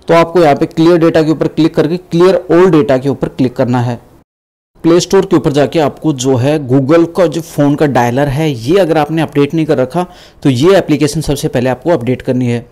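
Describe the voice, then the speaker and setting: A man's voice: a little high, mellow. A man in his thirties with an Indian accent, hosting a casual tutorial in a conversational, explanatory way. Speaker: tech video host. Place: small indoor desk studio.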